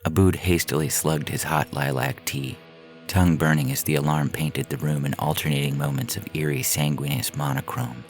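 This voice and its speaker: A man narrating science fiction stories in a measured, deliberate way, with a gravelly voice. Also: extremely low pitch, steady tone. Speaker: podcast story reader. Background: music.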